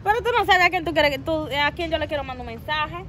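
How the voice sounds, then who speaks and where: Sassy high-voiced woman outdoors. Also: fast talker, crowd noise. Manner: puzzled, sassy. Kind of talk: comedy sketch acting. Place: outdoors by a parked car.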